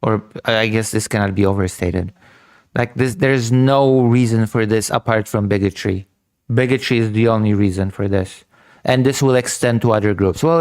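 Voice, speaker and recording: A man's voice: lowish voice, darkish tone. A man hosting a political commentary show who repeats his points emphatically. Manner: emphatic, repetitive.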